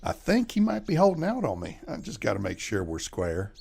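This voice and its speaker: A man's voice: on the low side, huge pitch swings, a little fast, creaky, darkish tone, breathy edge, heavily acted. A man playing a folksy, plain-spoken backwoods moonshiner father with a rural Southern US accent in a radio drama. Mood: guarded, firm.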